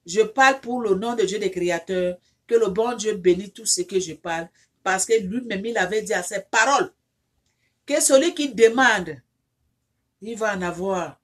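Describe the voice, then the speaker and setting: A woman's voice: mid-range voice, normal speed. A woman who is not a native French speaker, leading an informal prayer and appeal for her viewers, repetitive and fervent, with many restarts, who rises into insistent pleading as she prays. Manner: rises into loud insistent pleading when praying. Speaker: online counselor leading prayer.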